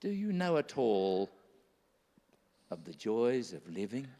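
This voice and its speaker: A kindly, earnest old-time Christmas gift-giver , played by a man declaims radio play verse with emphasis. The delivery is slow and swings widely in pitch. It resounds in an echoey hall. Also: very animated, dark tone. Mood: earnest, coaxing. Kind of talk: theatrical rhymed radio play oratory. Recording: echoey hall with long reverb.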